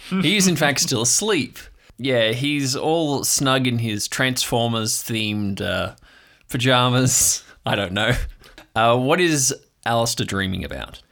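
A man hosting and narrating an improvised audio drama, finding his words as he goes in a halting delivery. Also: medium pitch, animated, fairly bright tone. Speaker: host, show creator and narrator.

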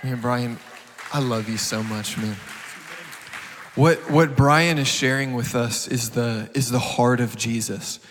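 Earnest, halting man speaking in a hall. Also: mid-range voice, fairly even intonation, a bit bright, a faint crowd, a little hiss or hum. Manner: earnest, halting, repeats words while building up. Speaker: guest speaker. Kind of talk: heartfelt sermon. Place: large church hall. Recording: reverberant hall.

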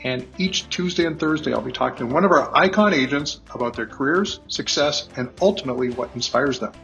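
A man's voice: medium pitch, a little fast, vocal fry, fairly bright tone, muffled recording, soft background music. A man hosting a podcast, interviewing a guest in a hesitant way, with many fillers and restarts. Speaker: podcast host.

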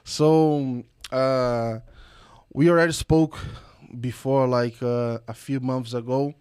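Smooth-voiced enthusiastic podcast host. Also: male voice, medium pitch, steady tone, slow pace, a little mellow, dry recording, studio quality. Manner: enthusiastic, loose with fillers. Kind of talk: podcast interview.

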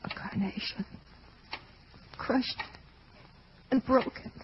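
An actress playing a fragile, fearful young heiress in an old-time radio drama, speaking in a hushed, anxious way. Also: female voice, on the high side, takes it slowly, noisy background. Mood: horrified. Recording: dull vintage radio recording with music.

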